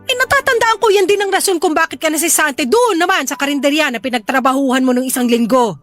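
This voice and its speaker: Shrill, very high-pitched scolding Filipino mother. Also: quick pace, fairly bright tone, faint music behind. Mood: exasperated, nagging. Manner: scolding, exclamatory, threatening. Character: hot-tempered, nagging, gambling widowed Filipino mother. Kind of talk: melodramatic Filipino audio drama acting.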